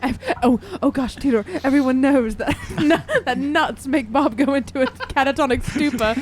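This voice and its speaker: A woman's voice: sits high, moderate pace, a little hiss or hum. A woman playing a tabletop game on a podcast, joking and improvising in a casual, giggly way. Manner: animated, giggly, jokey. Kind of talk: casual improvised roleplay banter.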